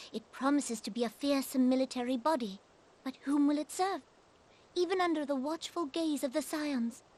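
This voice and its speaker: A woman playing an anxious, idealistic young queen in a fantasy game, speaking in a formal, earnest, archaic way. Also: high-pitched. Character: anxious, idealistic young female sovereign of a troubled realm.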